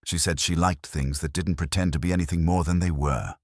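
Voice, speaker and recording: Calm, candid village woman as read by a male narrator, low-pitched, plain-spoken dialogue for an audio mystery. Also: fairly quick, dry recording, clean studio sound.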